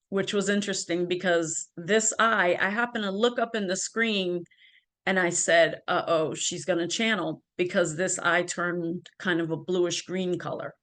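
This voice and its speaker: A woman's voice: on the low side, fairly bright tone. A woman guest telling her personal story in an interview, earnest and full of ums and restarts, on a video call from home. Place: home, by a ring light.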